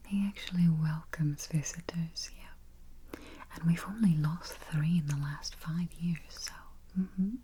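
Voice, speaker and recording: A woman doing a soft, breathy, mostly whispered ASMR roleplay as a politely morbid vampire travel agent, murmuring with dry understatement close to the microphone. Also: sits low, fairly even intonation, slow. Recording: faint hiss, close keyboard typing and clicks. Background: typing, clicking and gentle tapping.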